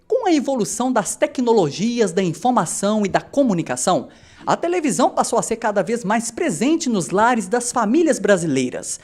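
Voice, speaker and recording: A woman reporter reading a scripted news narration in an expressive voice. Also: on the high side.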